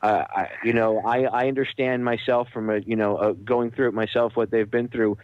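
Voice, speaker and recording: A man's voice: medium pitch, fairly even intonation, moderate pace. A man hosting a radio interview, talking conversationally in an earnest, opinionated manner. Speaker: radio show host, interviewer. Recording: dull, band-limited radio interview audio.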